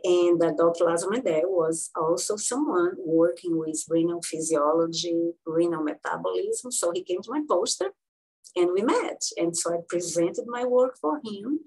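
A woman in her sixties from Brazil with a Brazilian Portuguese accent, telling her career story as an interview guest, with frequent pauses and fillers. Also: fairly low pitch, darkish tone, husky, rough recording. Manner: halting, many fillers and restarts. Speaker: guest physician-researcher.

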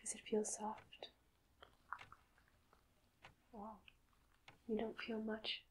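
Softly whispering woman in ASMR roleplay. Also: fairly high pitch, monotone, a little hiss or hum. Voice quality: soft, mostly whispered. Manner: gentle, slow questioning with long pauses. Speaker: ASMR performer playing a doctor. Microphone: close microphone.

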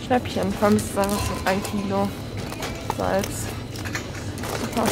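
A woman's voice: mid-range voice, flat delivery, normal speed, vocal fry, loud music behind the voice, rough recording. A young woman from Germany chatting casually on a travel vlog, amused and talkative, filmed on a handheld camera in a car and a supermarket. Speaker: vlogger. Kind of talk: casual chat. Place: car, supermarket and parking lot. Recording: handheld camera with loud street and store noise.

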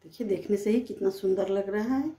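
Woman, cooking host, plain step-by-step explaining, home kitchen, clear background noise, frying and stirring. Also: medium pitch, fairly quick, mellow. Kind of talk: home cooking demonstration voice-over.

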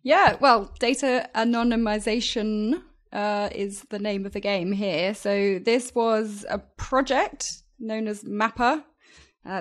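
A young woman co-hosting a podcast, chatting casually and upbeat through a news item, on a studio microphone. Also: medium pitch. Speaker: podcast co-host. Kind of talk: casual news roundup chat. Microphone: studio microphone with headphones.

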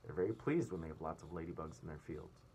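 A man's voice: rumbling low, quick pace, a little mellow, slight background noise. A man voicing a proud, prim female ladybug from a storybook, genteel and self-satisfied.

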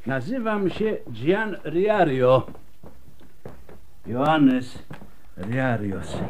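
An actor playing a weary, wry old doctor scarred by smallpox in a radio drama. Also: male voice, mid-range voice, animated, a little mellow, a little hiss or hum. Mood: wry, resigned. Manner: wry, world-weary, unhurried.

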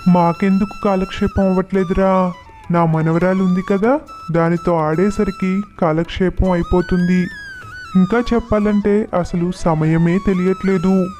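A man playing a gentle, forgiving elderly father in a storybook tale, speaking mildly with resignation. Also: sits high, fairly even intonation, unhurried, soft background music. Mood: calm, resigned. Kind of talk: storybook dialogue.

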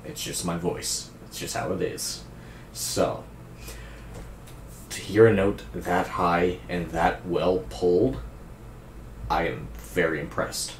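A young man with a naturally deep, raspy voice, hosting a casual music reaction, laid-back and swearing freely as he praises a singer. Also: little pitch movement, takes it slowly, long pauses, reverberant, a little hiss or hum. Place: indoor room at a streaming desk.

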